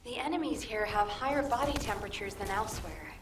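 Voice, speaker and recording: An actress playing a composed, analytical mission operator in a video game, measured and formal. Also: female voice, mid-range voice, animated, close to an everyday voice. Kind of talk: video game support character acting. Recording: echoey, distant game audio, faint noise.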